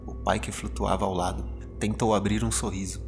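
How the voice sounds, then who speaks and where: Horror podcast narrator: Brazilian man, low register, dramatic scripted storytelling over prominent music. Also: little pitch movement, brisk, gravelly.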